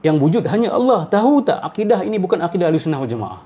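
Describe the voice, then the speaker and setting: A man's voice: sits high, big pitch swings, rapid-fire, dark tone. A middle-aged man giving off-the-cuff, argumentative religious commentary, repeating his phrases and firing rhetorical questions.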